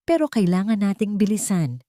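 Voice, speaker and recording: A woman's voice: mid-range voice, very animated, dark tone, dry recording, studio quality, voice pushed up, lightly acted. A woman voicing an eager adult man who plans with the heroine. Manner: brisk, eager.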